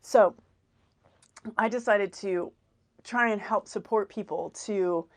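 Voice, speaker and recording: A woman hosting a personal finance live stream, talking in a rambling casual monologue with many fillers and repeated words, a little run down. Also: mid-range voice. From grew up in Hong Kong, Canada, Australia and New Zealand.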